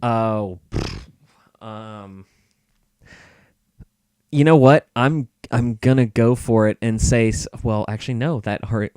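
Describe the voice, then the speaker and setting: A man's voice: lowish voice, slow pace, pauses often, a little mellow, clear, resonant tone, dry recording, clean studio sound. A man giving wry opinions as a guest in a casual podcast chat.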